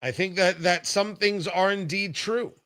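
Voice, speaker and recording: A man's voice: high voice, a little slow, bright, forceful tone, no echo. A man hosting a conversational talk show, speaking in an emphatic, punchy way from a studio.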